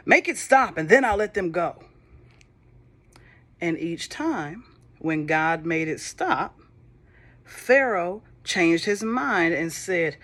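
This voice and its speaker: Slow, expressive woman reading a storybook. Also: fairly low pitch. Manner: slow, expressive, with soft whispered moments and chatty asides; lively, playful asides to young listeners. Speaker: storybook reader. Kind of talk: reading a children's Bible storybook aloud.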